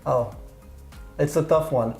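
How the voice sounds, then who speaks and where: A man hosting a cooking show, chatting with and interviewing his guest, a bit halting when he switches to English. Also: on the high side, steady tone, vocal fry, darkish tone, soft background music. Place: kitchen studio. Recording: echoey studio kitchen.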